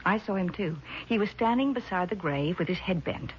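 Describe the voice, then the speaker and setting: An actress playing a sensible, worried, skeptical sister in an earnest 1970s radio drama style. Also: female voice, mid-range voice, expressive, brisk, natural voice. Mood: explaining. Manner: earnest, reasoning. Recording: muffled vintage radio, faint noise.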